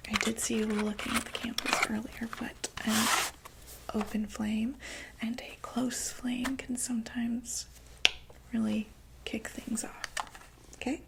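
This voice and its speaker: A young woman whispering softly as a doctor in a close-miked ASMR roleplay, reassuring and full of small fillers. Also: on the high side, creaky, heavy background noise. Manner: soft, slow, reassuring bedside whisper with many fillers. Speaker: ASMR creator playing a monster doctor.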